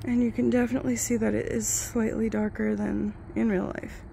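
A woman vlogger sick with the flu, her voice gravelly, chatting in a rambling, self-deprecating way while reviewing glasses at home. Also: on the high side, level tone, brisk, warm tone, a little hiss or hum. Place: home, indoors and outdoors in yard.

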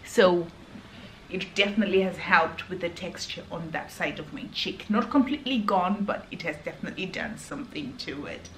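A woman's voice: on the low side, moderate pace, fairly bright tone. A woman in her thirties, not a native English speaker, chatting earnestly to camera about skincare and restarting often. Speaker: beauty vlogger. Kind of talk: casual skincare review to camera. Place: hotel room, indoors. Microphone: camera at arm's length. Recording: echoey room, faint background hum.

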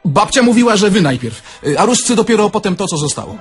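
Młody, naiwny Polak, dość wysoki głos. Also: male voice, sits high, sing-song, fast, soft background music. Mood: wry reminiscing. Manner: conversational, plain-spoken, reminiscing. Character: naive, candid young Polish car thief. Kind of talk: Polish audio drama acting.

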